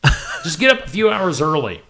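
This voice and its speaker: A male morning show host with a lively, bouncing voice that sits a little high. He runs through news items in a loose, chatty way, tossing in quick asides. He sounds like he is in a small local studio. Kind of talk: casual chat.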